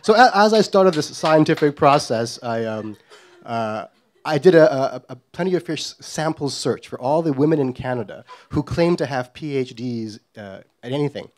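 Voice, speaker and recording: Middle-aged man from Guyana, wry live storyteller, mid-pitched and self-mocking. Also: lively intonation, moderate pace, a little mellow.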